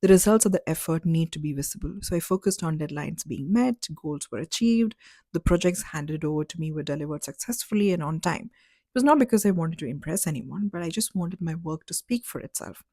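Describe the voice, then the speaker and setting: Woman, 30s, from Dubai; low-ish, mellow voice, candid storyteller, dry close sound. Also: animated, a little fast, no room sound. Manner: candid, reflective, conversational with fillers like "like" and "you know". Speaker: vlogger. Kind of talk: personal story vlog.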